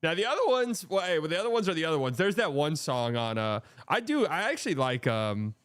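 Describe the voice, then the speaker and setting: A man's voice: fairly high pitch, big pitch swings, fairly quick, a bit bright, clear, resonant tone. A man hosting a live watch-party stream, chatting casually and bluntly about the game and answering his chat.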